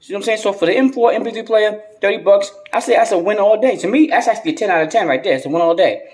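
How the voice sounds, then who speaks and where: New guy doing a casual gadget review, kinda higher voice, slangy, repeats himself a lot. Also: rapid-fire, a little mellow. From United States. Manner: slangy, repeats words and phrases. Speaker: gadget reviewer and video host. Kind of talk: casual unboxing and review vlog.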